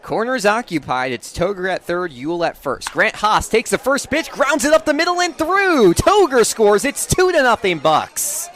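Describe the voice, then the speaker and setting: A man's voice: high voice, big pitch swings, moderate pace, few pauses, a bit bright, slight background noise. A young man calling a game live as a sports play-by-play announcer. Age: young adult.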